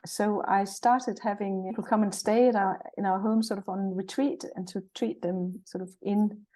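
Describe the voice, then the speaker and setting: A woman in her sixties with a Danish accent, speaking as an interview guest. Her voice is mellow and soft-edged. She talks in a friendly, cheerful way, thinking aloud as she goes. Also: mid-range voice, steady tone, moderate pace, poor audio. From Jutland, Denmark. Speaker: guest, naturopath and herbalist. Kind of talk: conversational interview.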